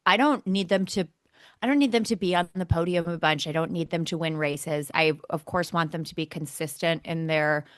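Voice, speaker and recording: A woman's voice: low voice, normal speed, no room sound, studio quality. A woman co-hosting a sports podcast, talking casually as a keen fan with emphatic repetition for effect. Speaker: motorsport podcast co-host.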